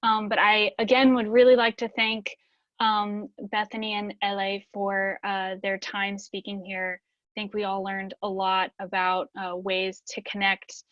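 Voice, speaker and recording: A woman's voice: mid-range voice, little pitch movement, unhurried, a bit bright, no room sound, muffled recording. A woman moderating a webinar Q&A, polite and hesitant with frequent ums.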